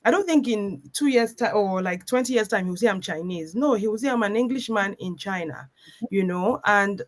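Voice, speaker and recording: Here is a woman, joining a relaxed panel discussion over a video call. She talks with lively energy and slips into her children's voices as she tells family stories. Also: mid-range voice, moderate pace. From Nigeria.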